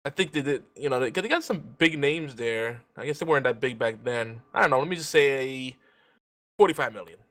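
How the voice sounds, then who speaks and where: A man chatting casually as a game panelist, thinking out loud and often repeating his first words as he goes. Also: medium pitch, expressive, a little fast. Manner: informal, thinking aloud, stammered repeats. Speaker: panelist guessing in a trivia game. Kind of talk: casual chat.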